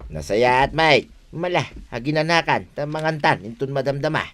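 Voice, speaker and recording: A man's voice: medium pitch, lively intonation, normal speed, fairly bright tone, lightly acted. A man playing an easygoing farm worker in a comedy radio drama, plain, agreeable and conversational.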